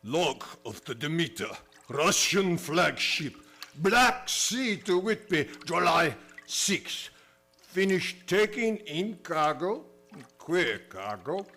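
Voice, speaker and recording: Grim sea captain played by a man, clipped and solemn, slightly high swooping voice, old-time radio drama. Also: pushed and bright, heavily acted. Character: grim ship's captain reading his log, increasingly fearful. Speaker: stage and radio theater actor. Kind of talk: old-time radio play acting, dramatic log reading.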